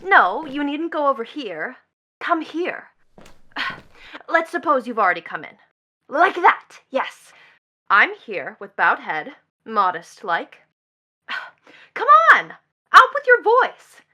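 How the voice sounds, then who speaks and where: Actress as piercing, high-voiced defiant young woman. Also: very animated, slow, pauses often, sharp tone, exaggerated voice. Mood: commanding. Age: young adult. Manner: imperious, mocking, melodramatic. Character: defiant, scornful, impatient young woman with theatrical intensity. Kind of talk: stage play acting.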